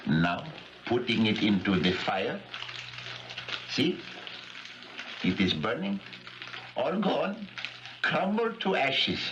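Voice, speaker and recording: A man's voice: medium pitch, big pitch swings, slow, long pauses. An actor playing a polite, mysterious psychic guru in a 1970s radio drama, speaking in formal, ceremonious showman patter. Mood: commanding, mysterious. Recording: dull, hissy 1970s radio recording.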